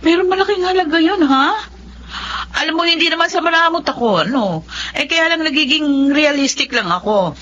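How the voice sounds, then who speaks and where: A woman's voice: sits high, moderate pace, fairly bright tone. A woman playing a frank, skeptical but responsible elder sister in a Filipino audio drama. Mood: skeptical, teasing. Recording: dull lo-fi audio, faint noise.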